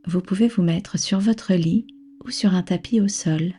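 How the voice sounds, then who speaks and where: A woman with a soft, breathy voice leading a guided relaxation as a yoga nidra instructor, soothing, unhurried and gently instructing. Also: on the low side. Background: background music.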